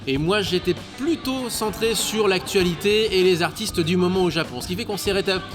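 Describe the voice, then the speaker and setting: A man's voice: sits high, normal speed. A man in his thirties, a guest and radio show co-host, chatting casually and hesitantly in a bar, with many fillers and stammered repeats. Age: 30s. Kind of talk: casual interview chat. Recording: clear music behind the voice. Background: music.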